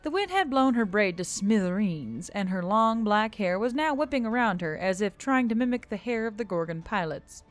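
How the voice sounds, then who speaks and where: Female audiobook narrator: mid-range, highly animated storytelling read for scripted fiction. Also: moderate pace, hardly any pauses. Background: music.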